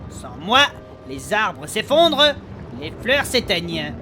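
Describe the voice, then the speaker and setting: A man's voice: high voice, very animated, slow, music in the background, exaggerated voice. A man playing an eager, curious adventurer in a comic fantasy audio drama, casual, slangy and a little hesitant. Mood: reading out, intent. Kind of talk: French fantasy comedy audio fiction acting.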